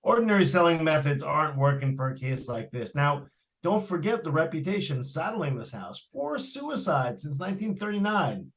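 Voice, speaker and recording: A man's voice: on the high side, big pitch swings, normal speed, rough recording. A man playing an eager, talkative property salesman in old-time radio suspense style, with a folksy, persuasive manner. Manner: folksy, persuasive, colloquial phrasing. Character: eager, talkative salesman trying to unload a haunted house.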